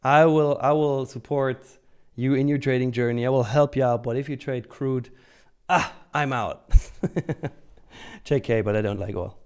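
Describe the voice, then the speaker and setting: A man's voice: mid-range voice, a little slow, clean tone. Informal man hosting a trading livestream. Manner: informal, joking, swears freely. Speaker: live stream host, futures trader. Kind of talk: casual live trading commentary, reading out and answering chat.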